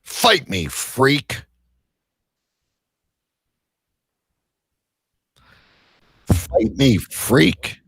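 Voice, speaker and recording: Man acting a brash taunting office bully, gravelly. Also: mid-range voice, big pitch swings, extremely slow, a bit bright, no echo, studio quality, voice pushed up, exaggerated voice. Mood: aggressive taunting. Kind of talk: amateur live audio drama.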